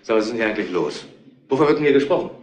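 Actor as shifty small-time crook, echoing room. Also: male voice, on the low side, expressive, very fast, natural voice. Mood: indignant. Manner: evasive, wheedling, colloquial. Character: shifty, indignant male small-time crook being questioned. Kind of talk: retro crime radio drama acting. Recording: roomy echo, faint noise.

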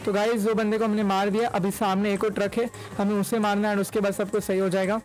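A young man talks through his gameplay in a high voice. His delivery stays flat and even, chatty and casual, with English words dropped in. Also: fast talker. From India. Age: young adult. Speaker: gaming YouTuber. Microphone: desk microphone close to mouth.

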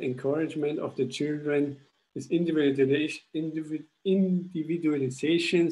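A young man from Austria walks listeners through a slide presentation on a video call, his voice mellow and warm. He talks haltingly, often restarting phrases as he searches for the words. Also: mid-range voice, rough recording. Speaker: charity founder presenting a school project. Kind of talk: webinar presentation. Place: indoors at home. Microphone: laptop webcam video call.

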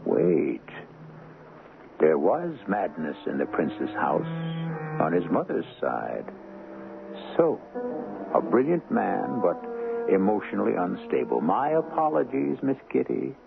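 An actor playing an urbane radio mystery host, narrating with dramatic pauses and probing questions. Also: male voice, lowish voice, creaky, slight background noise, exaggerated voice. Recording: muffled phone-like 1970s radio, music behind.

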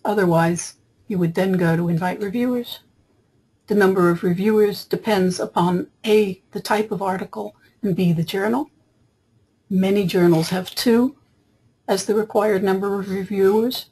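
A woman software trainer narrating a screen tutorial in an explanatory, step-by-step way, with a husky voice. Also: medium pitch, steady tone, normal speed, low-quality recording. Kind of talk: screen-capture tutorial narration, semi-improvised. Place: voice-over for screen recording.

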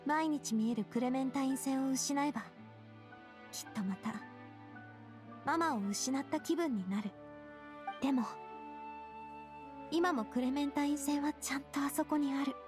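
A woman's voice: high-pitched, moderate pace, long pauses, lightly acted. A woman voicing a sincere, vulnerable young woman boss in a Japanese anime game, with music behind her. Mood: wistful, earnest.

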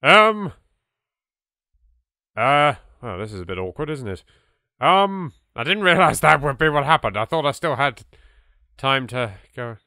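A man's voice: medium pitch, sing-song, a little slow, long pauses, sharp tone, dry recording, clean studio sound. A man with an English accent hosting a let's play, giving theatrical, wry, playful commentary.

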